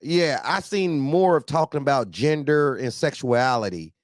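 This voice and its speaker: A man's voice: medium pitch, measured pace, no room sound. Slangy American man hosting, close dry sound. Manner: slangy, colloquial, repeats phrases emphatically. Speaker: host of a trucker talk show. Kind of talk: casual, heated group chat.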